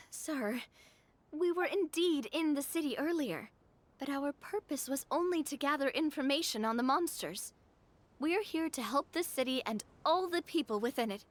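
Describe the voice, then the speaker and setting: Young female adventurer, video game; high-pitched, earnest, courteous, persuasive. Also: expressive, normal speed. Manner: polite, earnest pleading.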